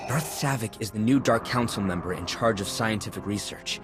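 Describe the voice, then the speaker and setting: An actor playing an earnest, determined comrade in arms, serious and insistent. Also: male voice, lowish voice, brisk, lightly acted. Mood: urgent conviction. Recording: game music behind the voice.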